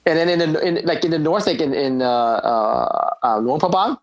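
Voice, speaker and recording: Man from laos, podcast guest, halting, restarts a lot, casual chat. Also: fairly high pitch, expressive, highs cut off. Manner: hesitant, halting, many restarts.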